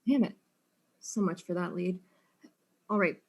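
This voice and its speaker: An actress playing a wry, weary detective in an audio drama, speaking casually. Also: female voice, fairly low pitch, a little slow, darkish tone, slightly rough. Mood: exasperated.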